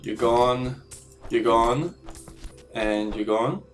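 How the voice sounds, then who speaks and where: A man, slightly croaky from a cold, commentating his own gameplay and thinking aloud about strategy in a self-deprecating way. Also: mid-range voice, extremely slow, distant mic, soft background music. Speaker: gaming channel host.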